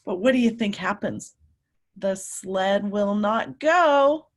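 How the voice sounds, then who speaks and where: A woman teacher reading a picture book aloud to young children, animated and emphatic, often putting questions to her listeners. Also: mid-range voice. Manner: animated, emphatic, drawn-out words, coaxing young children along.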